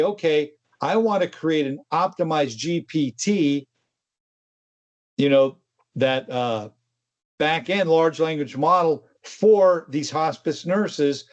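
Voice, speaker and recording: Man, fairly high voice, tech show co-host, casual conversational explaining, informal asides, slightly dull lo-fi recording.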